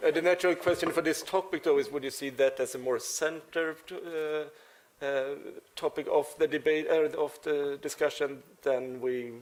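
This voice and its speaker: A man's voice: fairly high pitch, steady tone, moderate pace. A man moderating a panel discussion, asking long, hesitant questions.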